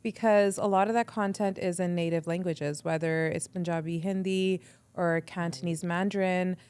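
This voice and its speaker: A woman's voice: on the low side, fairly even intonation, a little mellow, clear, resonant tone, no room sound. Young woman guest, casual storyteller, lots of "like", resonant voice on a dry mic. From Canada. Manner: chatty, quick, says "like" often, acts out her own thoughts. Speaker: guest, radio entrepreneur. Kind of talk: casual podcast interview.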